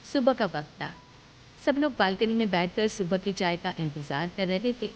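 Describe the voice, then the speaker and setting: A woman's voice: medium pitch, animated, moderate pace, slight background noise. A woman narrating a scripted moral story as its storyteller. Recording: dull lo-fi sound.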